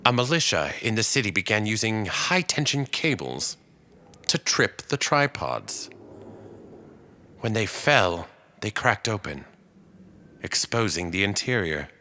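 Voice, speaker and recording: Slow, bright-voiced weary survivor played by a man. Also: lowish voice, sing-song, exaggerated voice. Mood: weary, dazed. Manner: halting, pausing, deliberate. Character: weary male invasion survivor, reflective and quietly resolute. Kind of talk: dramatic radio play monologue.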